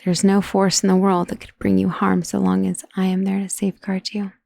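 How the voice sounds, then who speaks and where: Woman acting a refined vampire mistress, creaky and intimate. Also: medium pitch, monotone, a little fast, dark tone, no room sound. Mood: reassured, protective. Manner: formal, refined, genteel wording. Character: powerful aristocratic female vampire, doting and protective mistress. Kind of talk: ASMR roleplay, intimate dramatic monologue. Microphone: close, dry studio microphone.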